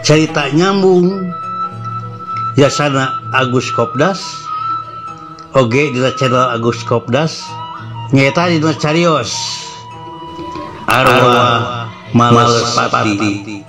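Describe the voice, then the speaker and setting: Unhurried Sundanese male horror storyteller. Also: medium pitch. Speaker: storyteller, channel host. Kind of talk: dramatic horror story narration. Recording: music under the voice. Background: background music.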